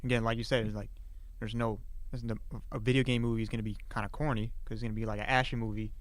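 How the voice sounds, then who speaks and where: Quick, slangy young man. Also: mid-range voice, level tone, no room sound. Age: young adult. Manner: slangy, laid-back phrasing. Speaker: podcast panelist. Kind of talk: casual chat. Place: college radio studio.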